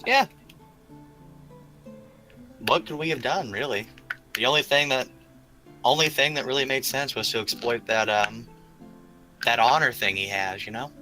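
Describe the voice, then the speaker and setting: A man's voice: medium pitch, a little slow, long pauses, pushed and bright. A man chatting casually as a player in a tabletop game over a voice call. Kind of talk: casual chat. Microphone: remote voice call microphone. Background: background guitar music.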